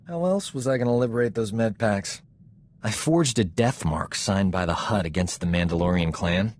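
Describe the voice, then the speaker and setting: An actor playing a cocky, flirtatious medic in a video game, smooth-talking and boastful, with a theatrical way of talking. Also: male voice, fairly low pitch, moderate pace, a little mellow, slight background noise, close to an everyday voice. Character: cocky, flirtatious male medic, self-promoting charming rogue. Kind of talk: video game character dialogue.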